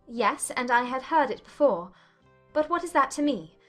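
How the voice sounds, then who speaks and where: Young gentlewoman played by a woman, high voice, poised and defiant, articulate period audiobook delivery over soft music. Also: animated, moderate pace. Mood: cool, challenging. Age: young adult. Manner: composed, articulate, firm. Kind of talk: dramatized audiobook acting.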